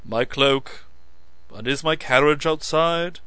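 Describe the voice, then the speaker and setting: A man's voice: on the high side, slow, bright, forceful tone, smooth, no echo, pitched above the performer's own voice. A man playing a proud young captain who flares up and stumbles over his words. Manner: hot-tempered, stumbling when cornered.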